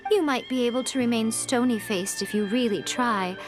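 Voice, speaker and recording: Game role: elegant, teasing fox priestess played by a woman, slightly high, smug and leisurely, faint music. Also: close to an everyday voice. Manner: unhurried, playful, smug. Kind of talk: video game character dialogue.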